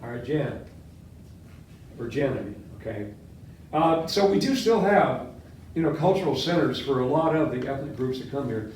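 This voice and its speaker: An older man, a history professor born in Latvia with Latvian as his first language, giving a classroom lecture and quizzing his students, talking with lively gestures. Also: mid-range voice, normal speed, long pauses, a little hiss or hum. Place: seminar room with whiteboard. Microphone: far camera. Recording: echoey classroom from far off.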